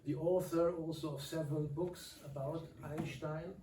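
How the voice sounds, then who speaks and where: A man's voice: fairly high pitch, fairly even intonation, recorded from a distance, background noise. Older man, echoey hall. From Austria. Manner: pausing, halting delivery. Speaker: moderator. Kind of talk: moderating a public talk. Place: lecture hall.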